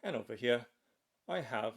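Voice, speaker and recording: A man with a mid-pitched voice narrates a hands-on product demonstration. He goes step by step in a measured, matter-of-fact way, like a tech unboxing presenter. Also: lively intonation, vocal fry.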